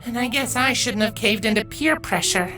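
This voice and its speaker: A woman's voice: a little high, moderate pace, over music, voice pushed up, lightly acted. A woman voicing an earnest, apologetic young pony princess in a storybook reading with an emotional, pleading manner. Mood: remorseful.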